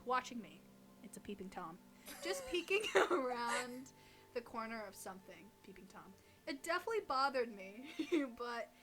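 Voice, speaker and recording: A young woman with a fairly high voice reads creepy stories in a relaxed, chatty way. She co-hosts and drops joking comments into what she reads. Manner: joking, interjecting asides while reading. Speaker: co-host reading listener stories. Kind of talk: casual chat and reading aloud creepy stories.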